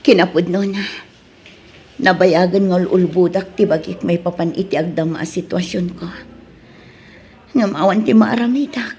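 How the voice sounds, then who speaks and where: A woman's voice: lowish voice, normal speed, pauses often, warm tone, slight background noise, natural voice. A woman playing a worried, debt-burdened mother in an Ilocano drama, with an Ilocano accent and a plaintive, sighing delivery. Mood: distressed. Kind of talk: Ilocano radio drama acting.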